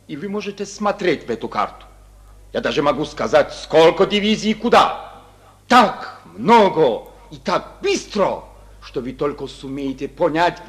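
An arrogant captured German major. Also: male voice, a little high. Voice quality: cold, defiant, measured.

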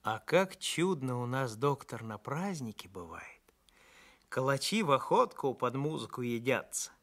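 A man's voice: medium pitch, very animated, a little slow, a bit bright. A man reads as a proud, hospitable old village woman in an audiobook story. She talks in a homely, folksy rural manner, like a keen baker welcoming a guest. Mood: chatty.

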